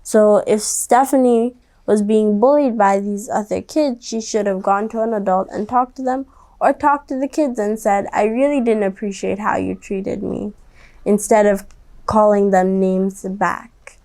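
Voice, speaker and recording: A woman hosting a children's read-aloud, speaking directly to young listeners in a gently instructive way. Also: mid-range voice, a little slow. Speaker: storybook reader and host.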